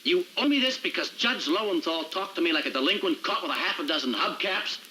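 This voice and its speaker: An actor playing an indignant, driven city prosecutor in an American crime drama, with a wry, wordy, sarcastic manner. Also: male voice, high-pitched, animated, brisk, pushed and bright, a little hiss or hum, exaggerated voice, low-quality recording. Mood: insistent.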